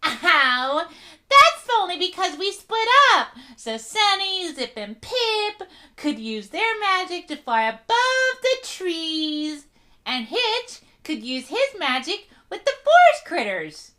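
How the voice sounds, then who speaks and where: A woman doing a squeaky, bouncy young pony. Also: sing-song, slow pace, sharp tone, full character voice. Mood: defensive. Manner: bouncy, defensive. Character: quirky young mare, left-out outsider. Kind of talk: fan comic dub voice acting.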